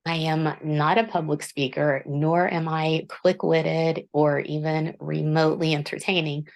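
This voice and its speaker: A woman author hosting her book channel, speaking in a low voice in an earnest, self-deprecating, measured monologue. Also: level tone, gravelly. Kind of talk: reflective scripted monologue.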